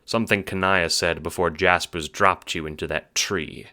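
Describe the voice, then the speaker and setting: A man's voice: deep voice, steady tone, normal speed, bright, forceful tone. A man narrating an audio drama in dramatic, scripted second-person storytelling.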